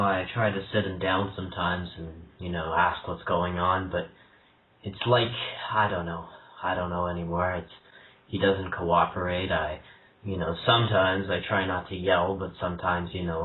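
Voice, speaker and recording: A man's voice: sits low, fairly even intonation, moderate pace. A young man making a prank phone call, stammering and hesitant in a mock-earnest tone. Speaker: prank caller. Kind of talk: prank phone call, posing as a troubled single father. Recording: tinny phone line, echoey room, faint hiss.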